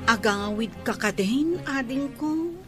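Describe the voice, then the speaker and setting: A woman's voice: a little high, expressive, slow, loud music behind the voice. A woman playing a protective, pleading housemaid, a big-sister figure, exclaiming plaintively in a radio comedy-drama. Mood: worried, sympathetic.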